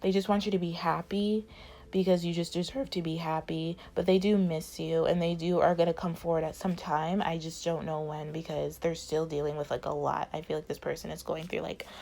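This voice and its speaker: A woman's voice: sits low, little pitch movement, normal speed, creaky, darkish tone. A woman giving a casual tarot reading, rambling with many fillers while losing her voice. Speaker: tarot reader.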